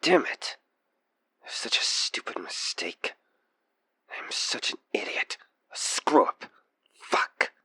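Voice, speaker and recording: Man acting a slow-talking, manipulative obsessed killer. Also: medium pitch, sing-song, bright, forceful tone, dry recording, exaggerated voice. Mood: angry self-reproach. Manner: hesitant, halting, breathy sighs and whispers sliding into menace. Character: obsessed male killer, coaxing and manipulative, feigning frightened innocence. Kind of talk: intimate audio roleplay acting addressed to the listener.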